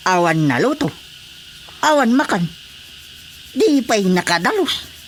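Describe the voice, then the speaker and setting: An aggrieved, complaining farmer husband. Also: male voice, high-pitched, very animated, slow pace, a little hiss or hum, exaggerated voice. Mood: exasperated. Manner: complaining, insistent. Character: aggrieved farming husband fed up with his wife. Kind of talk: radio drama acting.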